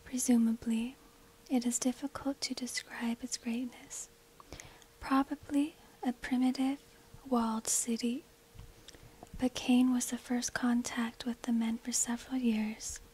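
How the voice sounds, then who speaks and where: Breathy whispering woman, slow ASMR storyteller. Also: a little high, flat delivery, low-quality recording. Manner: slow, hushed, deliberate phrasing. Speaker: narrator. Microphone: close binaural microphone.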